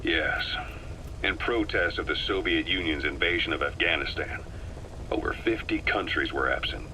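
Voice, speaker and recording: A composed, worldly military operative. His voice is low with a hard, bright edge, measured and matter-of-fact as he briefs a comrade in a video game, over faint tape noise. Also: low-quality recording.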